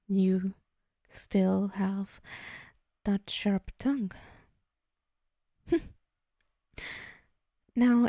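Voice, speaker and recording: Powerful female demon, played by a woman, ASMR: unhurried, dark-toned, teasing, possessive, condescending. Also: medium pitch, no echo, studio quality. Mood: indulgent, warning. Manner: slow, teasing, condescending, murmuring into whispers. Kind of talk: ASMR roleplay.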